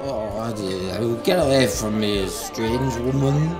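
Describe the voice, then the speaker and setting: A man's voice: mid-range voice, measured pace, natural voice. A man playing a rude, self-important screen actor with a haughty, sneering manner in an amateur radio play. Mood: irritated, haughty. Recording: music playing loudly over the voice.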